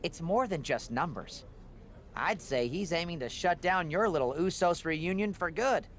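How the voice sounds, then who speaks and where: A man's voice: on the high side, lively intonation, moderate pace, fairly bright tone, a little hiss or hum. A man playing a chatty, awkwardly joking wrestling official in a video game, wordy and rambling. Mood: earnest, wary. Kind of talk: video game cutscene dialogue.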